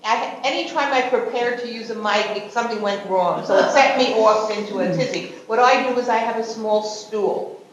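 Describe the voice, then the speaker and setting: A woman tour guide from New York City who speaks on a panel in a halting, self-deprecating way. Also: a little high, highs cut off. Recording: echoey room with faint noise.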